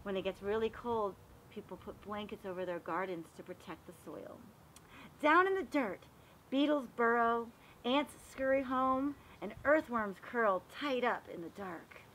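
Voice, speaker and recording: Bright, high-voiced woman reading to children. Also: measured pace, slight background noise, low-quality recording. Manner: animated, addressing young children with questions. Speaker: read-aloud storyteller for children. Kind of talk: expressive picture book read-aloud with explanatory asides.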